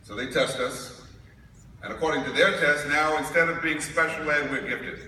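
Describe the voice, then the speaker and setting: A man's voice: fairly high pitch, normal speed. A man from New York giving a conference keynote speech, telling stories with humor. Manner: storytelling with humor. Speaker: keynote speaker. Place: large conference hall. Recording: echoey hall recorded from far off, noisy.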